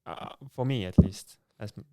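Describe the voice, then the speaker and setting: A man's voice: on the low side, normal speed, warm tone. A young man as a podcast guest in casual chat, easygoing and a little hesitant, often laughing between words. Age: young adult.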